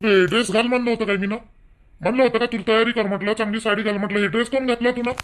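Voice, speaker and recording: A man's voice: high-pitched, steady tone, very fast, a bit bright. A man voicing a stern, controlling young village husband in a cartoon, giving reproachful orders in a Vidarbha Marathi accent. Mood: displeased. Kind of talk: cartoon voice acting.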